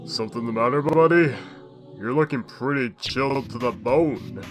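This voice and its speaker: A man's voice: mid-range voice, normal speed, faint music behind, close to an everyday voice. A man voicing a smug, unhinged glitchy skeleton destroyer in a comic dub, with a drawling, mocking, theatrical delivery.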